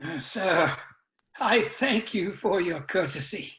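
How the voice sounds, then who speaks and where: Echoing, slow ancient president, acted by a woman. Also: fairly low pitch, animated, fairly bright tone. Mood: nervous dignity. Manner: halting, formal, grave. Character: dignified, fearful ancient male president of Earth. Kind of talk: dramatic reading of a science fiction story. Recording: roomy, echoing video call.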